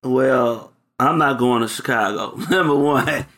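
Man, podcast co-host, gravelly voice, laid-back and playful. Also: medium pitch, a little slow, fairly bright tone. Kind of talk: casual podcast chat.

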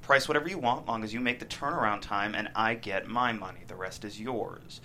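An actor in a table read playing a cocky, playfully cruel drug dealer with taunting, slangy street talk. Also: male voice, fairly low pitch, brisk, bright, forceful tone, slight background noise. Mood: cold threat. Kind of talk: dramatic table read of a teen drama script.

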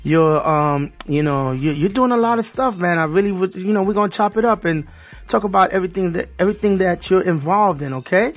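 A man's voice: high-pitched, fairly quick. A man hosting a casual radio interview in loose, slangy talk, often repeating himself. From Haitian background. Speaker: radio show host.

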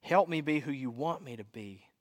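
A man's voice: medium pitch, animated, normal speed, lightly acted. A man playing a weary, desperate pilgrim in a sermon story, pouring out his heart with raw emotion. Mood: weary, broken. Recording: echoey hall with long reverb.

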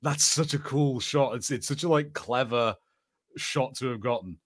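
A man's voice: medium pitch, moderate pace, husky. Enthusiastic man chatting as podcast co-host. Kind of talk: casual watch-party commentary.

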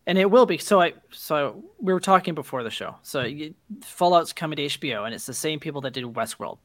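A man's voice: a little high, expressive, a little fast, a bit bright, no echo. A man hosting a podcast in casual conversation, agreeable and often chiming in. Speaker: podcast host.

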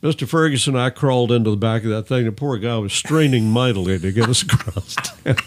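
A man's voice: mid-range voice, moderate pace, a little hiss or hum. An older man with an American accent, chatting casually and tossing in quips as a co-host. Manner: jokey, quipping. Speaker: podcast co-host and former radio DJ. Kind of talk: casual joking chat.

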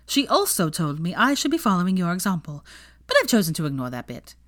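A woman's voice: fairly low pitch, very animated, fast, a bit bright. A woman voicing an outspoken, unbothered teenage witch in a storybook reading. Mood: wry.

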